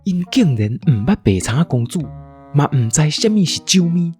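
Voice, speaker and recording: A man's voice: on the high side, very animated, normal speed, darkish tone, faint music behind. A man with a Taiwanese accent hosting and narrating a scripted children's story in radio-drama style. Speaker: storyteller and show host.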